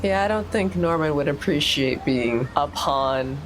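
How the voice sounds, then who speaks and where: Low-pitched, lively-toned woman. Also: a little slow, slight background noise, poor audio. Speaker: podcast player. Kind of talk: casual chat.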